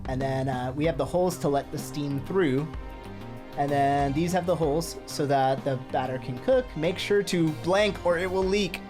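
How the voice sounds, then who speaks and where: A woman's voice: low-pitched, moderate pace. A woman whose first language is Telugu, streaming a game and chatting casually as she explains dishes to viewers. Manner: chatty, explaining, with fillers and laughs. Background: game music.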